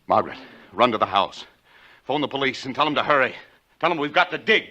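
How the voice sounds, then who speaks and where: An actor playing a stern, angry American father with a forceful, accusing manner in a 1940s radio drama. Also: medium pitch, expressive, fast, background noise, lightly acted. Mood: urgent. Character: stern, angry father confronting a lying neighbor. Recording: muffled old 1940s radio recording.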